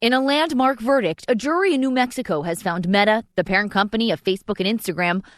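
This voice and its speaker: A woman's voice: mid-range voice, no room sound, clean studio sound. A woman correspondent narrating a scripted news report.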